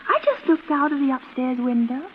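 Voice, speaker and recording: Casting: girlish, fragile sister near forty, very high timid voice, vintage radio suspense, muffled noisy recording. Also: very animated, fairly quick. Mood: anxious, confiding. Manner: timid, rambling, apologetic, girlish. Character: fragile, childlike woman nearing forty, timid and wistful. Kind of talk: 1940s radio suspense acting.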